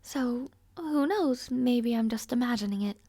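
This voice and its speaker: A woman's voice: on the high side, unhurried, mellow, no room sound. A woman playing a rude, arrogant but somewhat shy young princess in an audio roleplay, speaking in a formal, languid, world-weary way. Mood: languid, bored. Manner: haughty, languid, sighing, formal wording. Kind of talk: scripted audio roleplay acting, addressing the listener. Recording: close, dry, no ambience.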